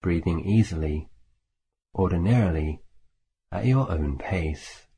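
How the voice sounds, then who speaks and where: A man leading a guided meditation as a teacher, speaking in a calm, measured way with long pauses between phrases. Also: deep voice. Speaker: Buddhist monk, meditation teacher. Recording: faint background hiss.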